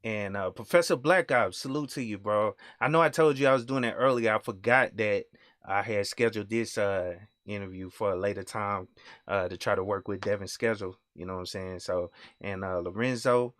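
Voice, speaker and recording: A man's voice: lowish voice, fairly quick, fairly bright tone, no echo. Easygoing young man hosting a stream. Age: young adult. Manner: loose, trails off, "you know what I'm saying". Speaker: host. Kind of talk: casual live-stream interview.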